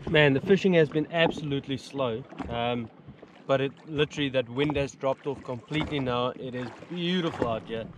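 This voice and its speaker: A man's voice: medium pitch, brisk, noisy background. A young man, chatting casually as he fishes from a jet ski on the open sea and laughing often. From New Zealand. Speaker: fishing vlogger. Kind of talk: casual fishing vlog commentary. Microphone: action camera mounted on the ski.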